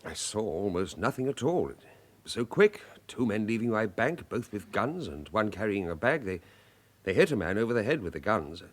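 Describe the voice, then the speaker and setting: An actor playing a shaken, apologetic bank manager in a radio drama, hesitant and polite. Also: male voice, lowish voice, sing-song, normal speed. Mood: shaken, flustered. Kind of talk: British radio drama acting.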